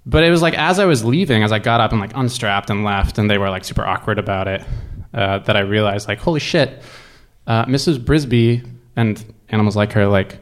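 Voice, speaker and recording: A man sharing a personal story as a guest. His voice sits a little low, and he speaks conversationally, reflecting and searching for words as he goes. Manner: halting, reflective, conversational with frequent 'like' and swearing.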